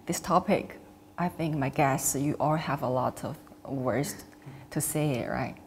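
A woman's voice: low voice, a little slow, a little mellow, a little hiss or hum. A middle-aged woman hosting a panel interview in simple, non-native English phrasing. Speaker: host. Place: large indoor cafe-like room.

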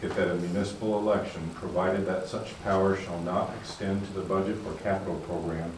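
Low male commission member, formal reader, distant echoey pickup with room noise. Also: brisk. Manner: steady formal reading. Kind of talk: reading a legal text aloud. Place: large meeting room indoors. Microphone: far camera.

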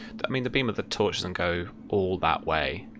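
A young man narrating a tabletop role-playing game as game master, pausing to search for his words. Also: low voice, expressive, background noise. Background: background music.

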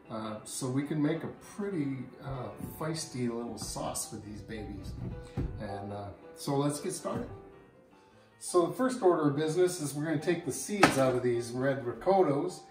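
An older man hosting a home cooking show, explaining each step in a relaxed way with frequent pauses, in a kitchen and then out at a backyard grill. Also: mid-range voice, rough recording. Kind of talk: casual cooking demonstration. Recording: music playing underneath.

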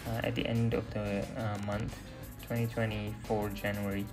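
A young man giving casual gameplay commentary in a hesitant, halting way. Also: lowish voice, level tone. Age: young adult. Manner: hesitant, halting delivery with trailing phrases. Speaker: gaming video creator. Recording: loud music and game audio behind. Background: loud music.

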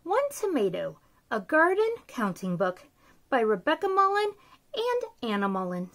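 Woman, 30s, kids' story time host; high, very animated, bubbly read-aloud in a small room. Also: a little slow. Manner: bubbly, sing-song talk to children. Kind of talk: reading a picture book aloud to kids. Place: small decorated room indoors.

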